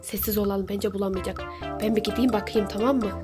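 A woman speaking as a mother in a playful, animated way, giving a child encouraging instructions during a game. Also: mid-range voice, quick pace. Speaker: mother playing hide-and-seek with child. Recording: loud music over the voice.

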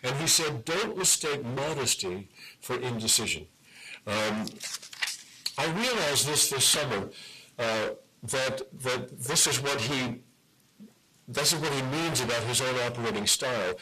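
A middle-aged man giving a lecture to a university audience in a measured way, with frequent "um" pauses. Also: mid-range voice, lively intonation, sharp tone, slight background noise, poor audio. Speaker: guest speaker, journalist.

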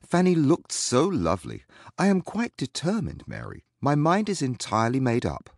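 A confident young gentleman of a period novel, as a female narrator puts him on. The voice is dropped low and moves widely in pitch as he speaks with earnest, smitten formality. It is a dry, close audiobook sound. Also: big pitch swings, moderate pace, no room sound, exaggerated voice. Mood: resolute, smitten. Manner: declarative, earnest, formal Regency speech. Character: confident young gentleman, warmly lovestruck and self-assured. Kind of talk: audiobook character dialogue.

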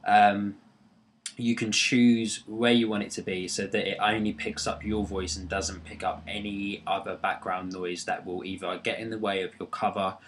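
A young man with a deep voice, talking through a screen-recorded tutorial in a rambling, friendly way, with lots of fillers and restarts, recorded on a laptop's built-in microphone in his bedroom. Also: little pitch movement, normal speed, a little hiss or hum. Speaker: tutorial maker. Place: bedroom near a busy road.

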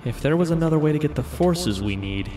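A man's voice: fairly high pitch, lively intonation, brisk, dark tone, faint music behind, close to an everyday voice. A man playing a determined, scheming guild mage in audio drama dialogue. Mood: thoughtful.

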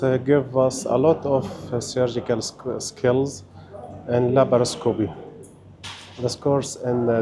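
A male general surgeon from Syria speaking in simple, halting phrases on a lapel mic. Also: medium pitch.

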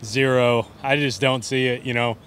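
A man's voice: medium pitch, steady tone, moderate pace, fairly bright tone. A man in his thirties, a professional angler, answering outdoors in a blunt, dismissive way. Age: 30s. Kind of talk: interview answers. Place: outdoors by boats. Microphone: handheld mic held by interviewer. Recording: faint outdoor noise.

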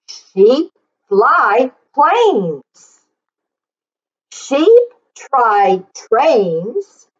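A middle-aged woman reading aloud to young children in an animated, sing-song way, with encouraging asides. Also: on the high side, slightly rough, dull audio. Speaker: children's story reader and teacher. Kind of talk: read-aloud for young children, repeating lines slowly. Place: small plain indoor room.

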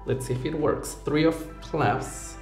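A man's voice: on the high side, unhurried, a little mellow. Ecuadorian accent guy doing magic patter, playful, roomy sound, bit of music under it. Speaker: sleight-of-hand magician. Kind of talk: live close-up magic patter. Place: small indoor performance stage. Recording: echoey room with music behind.

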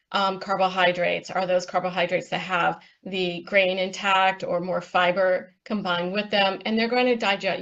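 A woman on a panel, explaining nutrition slides in a steady, instructive way with frequent ums. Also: on the low side, creaky, dull audio. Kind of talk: presenting slides in a panel talk.

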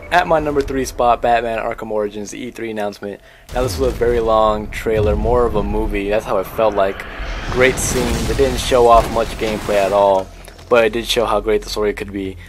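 A man's voice: on the low side, fairly even intonation, a little fast, music in the background. A man giving casual, informal and opinionated commentary as the host of a video game countdown.

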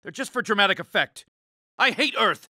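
A man voicing a grumpy, uptight, pompous alien spaceship leader in an animated cartoon game, exasperated and emphatic. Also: high voice, normal speed, dry recording, clean studio sound, full character voice. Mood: irritated, brisk.

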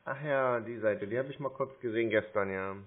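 A man on a phone call, relaxed and playfully stalling, slowly spelling things out to a caller. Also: mid-range voice. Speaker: scam-baiting call recipient. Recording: phone-line audio.

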